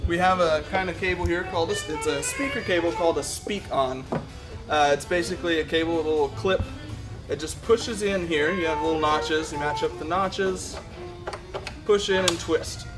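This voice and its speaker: A man with a slightly high-pitched voice walks through equipment setup as a tutorial presenter. He is practical and conversational, explaining things informally step by step, with music behind his voice. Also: moderate pace. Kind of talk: informal hands-on product tutorial.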